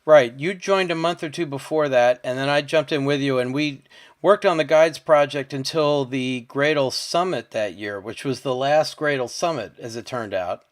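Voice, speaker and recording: A man's voice: medium pitch, moderate pace. Chatty male podcast host. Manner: conversational, checking facts with "right?". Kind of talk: podcast interview.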